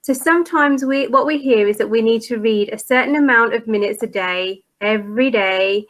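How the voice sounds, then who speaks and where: A woman's voice: a little high, moderate pace, fairly bright tone. A middle-aged woman presenting a parent workshop in a conversational, informal way, relatable and reassuring.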